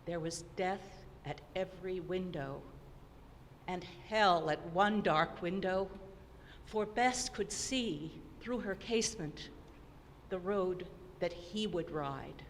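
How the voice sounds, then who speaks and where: A woman giving a dramatic poetry recitation, theatrical and deliberate, swinging between hushed and declamatory delivery. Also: on the low side. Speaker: poetry performer. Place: opera house hall, live performance. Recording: noisy recording in a reverberant hall.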